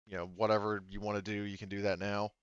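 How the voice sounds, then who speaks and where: A man's voice: lowish voice, flat delivery, quick pace, clean tone, no echo, dull audio. A man talking casually as game master of a tabletop roleplaying game, explaining rules as he goes.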